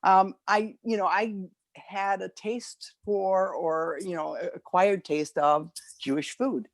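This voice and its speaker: An older woman telling personal memories informally, in a halting way with repeated and restarted phrases. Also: mid-range voice, steady tone, no room sound. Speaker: speaker at a community gathering.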